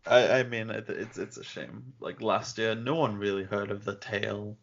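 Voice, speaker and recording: A man's voice: on the low side, steady tone, normal speed, gravelly. A young man co-hosting a podcast, chatting casually in a blunt, sardonic way. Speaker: podcast co-host. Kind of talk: casual chat. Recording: dull lo-fi sound.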